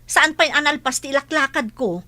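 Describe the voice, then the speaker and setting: Woman as complaining elder sister, bright forceful voice, fairly high, Ilocano accent, defensive, radio drama. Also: lively intonation, moderate pace. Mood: annoyed. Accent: Filipino, Ilocano.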